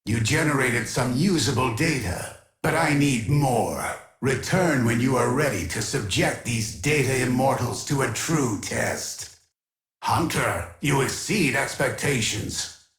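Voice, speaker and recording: A man's voice: mid-range voice, measured pace, a bit bright. A man voicing a cold, imperious keeper of a data sanctuary in a science-fiction game, formal, clinical and commanding, with echoing processing on the voice. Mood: clinical, detached. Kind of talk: scripted video game character voice acting.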